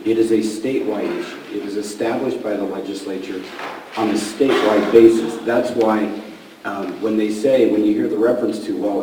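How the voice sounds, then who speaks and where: Guy losing his voice at a public meeting, low and mellow, lots of room echo from far off. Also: slight background noise. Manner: explanatory, halting with fillers.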